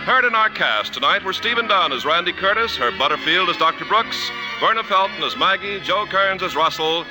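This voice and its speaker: A man's voice: high voice, expressive, a little fast, low-quality recording. An American man reading announcements and commercials on 1950s radio in an emphatic, polished sales delivery. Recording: 1950s radio broadcast, music behind.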